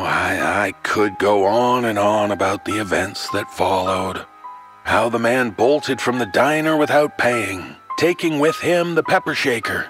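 A man playing a genial, darkly sly TV storyteller uncle, telling a horror tale in theatrical, drawn-out phrases with coy asides. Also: lowish voice, natural voice. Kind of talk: old-time TV horror storytelling.

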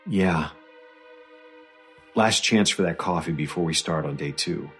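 An actor playing a weary, troubled male doctor who gently and gravely interviews a survivor in an audio drama. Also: rumbling low, faint music behind, exaggerated voice. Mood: troubled, regretful. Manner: slow, hesitant, grave.

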